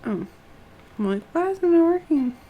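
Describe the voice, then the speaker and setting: A woman's voice: very high-pitched, big pitch swings, normal speed, dark tone, poor audio. A woman streaming a video game, muttering to herself in casual live commentary and often trailing off. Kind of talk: casual live gameplay commentary, thinking aloud.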